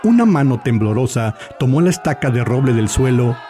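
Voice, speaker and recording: A man's voice: mid-range voice, sing-song, a little fast. A man reading dramatic scripted audiobook narration. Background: background music.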